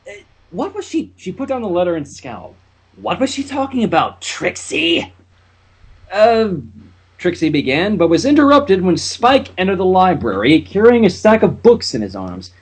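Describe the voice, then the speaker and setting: A man reading fan fiction aloud in an expressive, giggly way, with character voices and asides. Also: mid-range voice, moderate pace, breathy edge. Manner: expressive, giggly, stumbles and breaks off.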